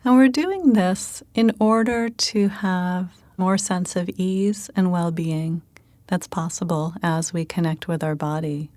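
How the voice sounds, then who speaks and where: A woman leading a guided meditation in a calm, gentle manner, giving unhurried instructions with pauses. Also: lowish voice, no echo.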